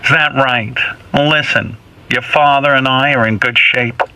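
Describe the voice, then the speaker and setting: A man voicing a fussy, nagging mother in her eighties who lectures in halting, emphatic phrases for a radio comedy bit. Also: mid-range voice, steady tone, pushed and bright, clean tone, rough recording. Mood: insistent.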